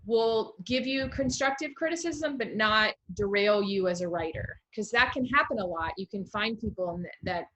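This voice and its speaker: A woman's voice: mid-range voice, normal speed, few pauses, a bit bright, lo-fi sound. A woman with an American accent, hosting a casual interview over a video call in a chatty, encouraging way. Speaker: interview host, writer.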